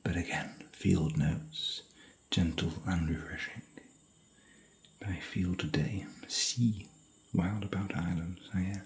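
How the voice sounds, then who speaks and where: A man whispering softly in a rambling, chatty, gentle way while hosting an ASMR hotel room tour. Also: sits low, fairly even intonation, takes it slowly, husky, poor audio. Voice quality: soft whisper.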